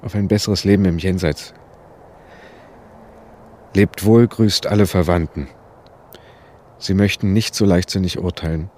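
An actor playing a doomed young bank robber, formally reading out a bitter, self-righteous farewell in a radio drama. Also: male voice, deep voice, steady tone, a little slow, long pauses, darkish tone, slight background noise.

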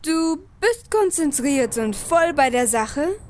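A woman's voice: high-pitched, expressive, slow pace, a little hiss or hum. A woman playing a prim, strict, easily disgusted female adventurer with a scolding, earnest manner in a comedy audio drama.

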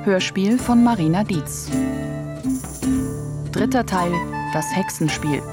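Woman announcing radio play credits, medium pitch, loud plucked guitar behind her. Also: lively intonation, slow pace.

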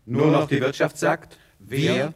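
A man's voice: fairly high pitch, slow, breathy edge, exaggerated voice. A man playing a domineering, pompous career adviser who lectures the young in a satirical radio play, declaiming with insistent repetition. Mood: declamatory, insistent.

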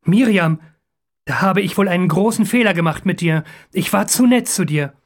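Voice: medium pitch, normal speed, lightly acted. A narrator voicing a terrified captive man in an audiobook, begging urgently and crying for help. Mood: angry, reproachful.

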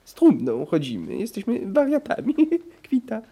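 Nervy obsessive count in radio play, raspy higher voice, very animated. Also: male voice, moderate pace, heavily acted. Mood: uneasy, reminiscing. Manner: obsessive stuttering word repetition. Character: fretful, obsessive old-fashioned aristocrat feigning madness. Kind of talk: theatrical radio play acting.

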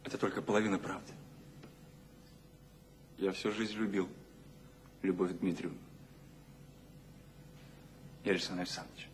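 An actor playing a weary, melancholy man with a creaky voice in a Soviet radio drama. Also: low voice, little pitch movement, moderate pace, pauses often, a little hiss or hum, natural voice. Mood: sorrowful. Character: weary, melancholy man confessing lifelong love.

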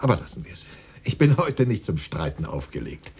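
Radio play lead: a grumbling poet, low and mellow, hugely varied intonation. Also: male voice, sing-song, fairly quick, a little hiss or hum. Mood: sceptical, grudging. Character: sceptical, modest male poet running a business. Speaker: poet and customer. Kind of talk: radio drama acting.

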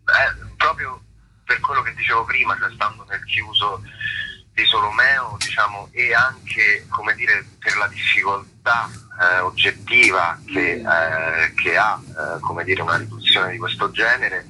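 A man's voice: on the low side, measured pace, background noise. A man, an actor giving reflective, conversational interview answers and searching for his words. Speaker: actor being interviewed about a play.

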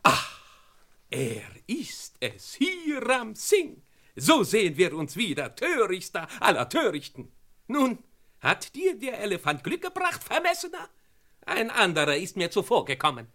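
An actor playing a grand Indian maharaja in a vintage radio crime play, ceremonious, flowery and pompous. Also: male voice, sits high, huge pitch swings, measured pace, pushed and bright, full character voice. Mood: gloating. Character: grand, courteous Indian maharaja, imperious and theatrical.

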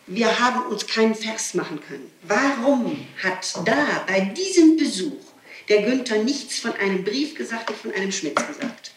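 A woman's voice: on the high side, animated, normal speed. Insistent woman on a roomy old tape. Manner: insistent, agitated, repeats rhetorical questions; digressive, breaks off and restarts sentences. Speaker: person being questioned by police. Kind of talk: spontaneous statement in an interrogation. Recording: old archive tape, roomy, distant, hissy.

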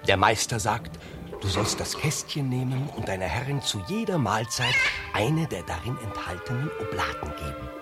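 An actor playing a sly, obliging manservant with a deferential, instructing manner in a radio drama. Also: male voice, lowish voice, sing-song, normal speed, over loud music. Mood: officious, instructing. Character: obliging, sly manservant posing as sorcerer's interpreter.

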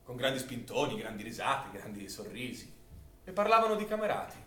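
An actor playing a bitter villager who recounts wartime occupation in a vivid, theatrical radio-play monologue. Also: male voice, medium pitch, fairly quick. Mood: wry, confiding. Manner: expressive, dramatic storytelling delivery. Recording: roomy, distant stage recording with faint noise.

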